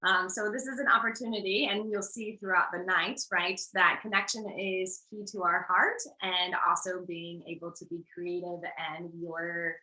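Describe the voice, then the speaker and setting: A woman's voice: lowish voice, steady tone, normal speed, few pauses, sharp tone, echoey room, low-quality recording. An American woman, a life coach from the United States, co-hosting an online workshop over a video call in an upbeat, reassuring way, laughing often.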